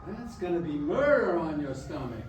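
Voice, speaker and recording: A man's voice: a little high, big pitch swings, normal speed. A man playing a wry, affectionate old grandfather in a staged musical reading, hedging and stumbling over his words. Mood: gently bossy. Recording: roomy, distant stage recording with piano.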